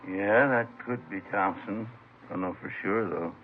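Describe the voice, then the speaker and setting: A man's voice: fairly low pitch, unhurried, phone-quality sound, faint music behind. A man playing a laconic, folksy American landlord in a 1950s radio drama, answering in short offhand phrases. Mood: offhand, helpful. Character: folksy, incurious longtime local landlord, helpful but laconic. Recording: noisy, thin vintage radio recording.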